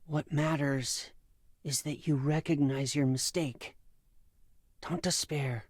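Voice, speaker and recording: Younger brother: boyish, loyal, inquisitive Spartan youth in a game drama, dry close sound. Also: male voice, on the high side, fairly even intonation, slow pace, dry recording. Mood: consoling. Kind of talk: dramatic video game acting.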